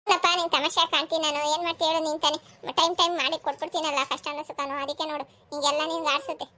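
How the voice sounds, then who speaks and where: A woman voicing an overworked, sharp-tongued village housewife and mother in a family cartoon, scolding and complaining with a rural southern Karnataka accent. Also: high-pitched, level tone, rapid-fire. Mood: sulky, impatient. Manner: scolding, complaining, rhetorical questions.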